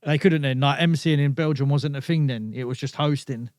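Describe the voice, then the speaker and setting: Casual guest in his thirties, easygoing, mid-pitched, close dry sound. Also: brisk, darkish tone, no room sound. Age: 30s. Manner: laid-back, fills talk with "you know what I mean". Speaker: podcast guest. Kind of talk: casual storytelling chat.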